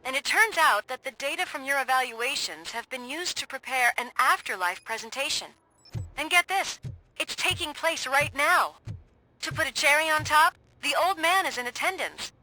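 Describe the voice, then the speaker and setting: An actress playing a tough woman police detective, talking in a brisk, punchy, outspoken way. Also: sits high, expressive, pushed and bright, rough recording. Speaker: police officer, the hero's partner.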